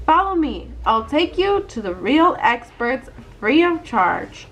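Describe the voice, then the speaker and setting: Woman voicing a high, bossy little cartoon girl. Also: very animated, slow, a bit bright, full character voice, poor audio. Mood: bossy, instructive.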